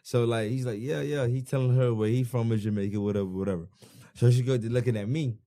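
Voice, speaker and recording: A man's voice: medium pitch, steady tone, quick pace, mellow. A young man with a Bahamian accent, a guest musician in casual podcast chat, slangy and full of "bro" and "you know what I'm saying".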